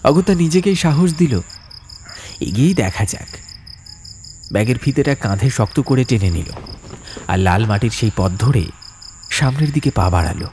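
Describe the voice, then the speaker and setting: A man's voice: mid-range voice, animated, normal speed, a little mellow, slight background noise. A man narrating a horror audiobook in a dramatic, suspenseful storytelling style.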